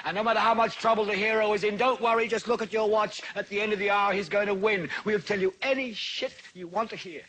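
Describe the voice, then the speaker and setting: An actor playing a furious, prophetic TV news anchor, ranting in oratorical, repeating cadences like a zealot in a film monologue. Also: male voice, high voice, fairly even intonation, fast, few pauses, a bit bright, slight background noise, rough recording. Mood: bitter scorn.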